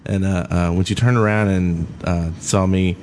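A man's voice: low voice, fairly even intonation, moderate pace, darkish tone. A man chatting in a casual, rambling way as a panelist on a live podcast. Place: gaming convention. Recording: live recording with faint background noise.

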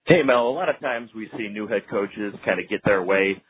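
A man's voice: on the low side, normal speed, breathy edge. A man working as a sports reporter, casually setting up a question on a conference call. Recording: tinny phone line, faint noise.

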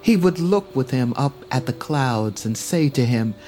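A man narrating a scripted dramatic story in a measured, literary manner. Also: mid-range voice, lively intonation, warm tone.

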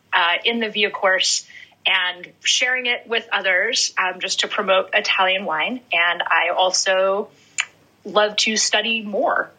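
A bright, breathy female voice at a middle pitch, introducing herself with an upbeat, eager manner. She sounds fully invested in what she says. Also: low-quality recording. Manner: enthusiastic, warmly upbeat. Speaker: sommelier, wine importer staff. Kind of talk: casual online chat.

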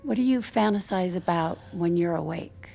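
A woman in middle age playing a restrained, uneasy wife who is gently exasperated, acted naturalistically for audio drama with a hesitant manner. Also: fairly low pitch, moderate pace, vocal fry. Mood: hesitant, probing.

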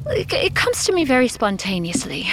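High-voiced, earnest village oracle played by a woman. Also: huge pitch swings, moderate pace, background noise. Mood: wistful, earnest. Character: earnest, courteous village woman burdened with oracular knowledge. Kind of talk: audio drama acting.

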